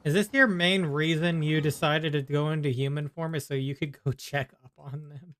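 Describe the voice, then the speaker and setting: Bright-voiced man giving game commentary. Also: a little high, steady tone, normal speed, dry recording. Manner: wry, teasing asides. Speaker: video game streamer. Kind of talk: casual playthrough commentary.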